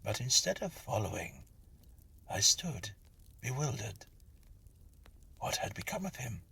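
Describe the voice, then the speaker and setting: A man reading a Victorian ghost story as scripted narration, in a husky, breathy, hushed and dramatic storytelling manner. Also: mid-range voice, very animated, unhurried, slight background noise.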